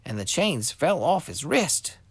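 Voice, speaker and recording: A man's voice: a little high, big pitch swings, a little slow, slightly rough, dry recording. A man reading a scripture story expressively for children as a scripted narration.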